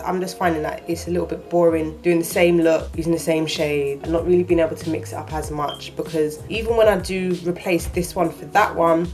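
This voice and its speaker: Low voice woman, kinda croaky from just waking up, rambly chat to the camera. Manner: rambling, chatty, self-correcting. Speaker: beauty vlogger. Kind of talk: casual chat. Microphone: handheld camera, shaky framing.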